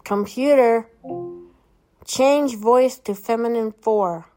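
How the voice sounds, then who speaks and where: A woman's voice: a little high, slow pace, darkish tone, soft background music, low-quality recording. A woman demoing a smart speaker, giving it short commands and casually explaining what it does. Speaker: presenter demonstrating a smart speaker. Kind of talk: casual demo walkthrough.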